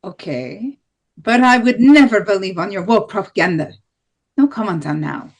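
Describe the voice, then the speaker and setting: A woman's voice: mid-range voice, expressive, moderate pace. A woman reading the part of a blunt, hardline right-wing presidential candidate in an amateur play table read, with plain declarative delivery. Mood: defiant.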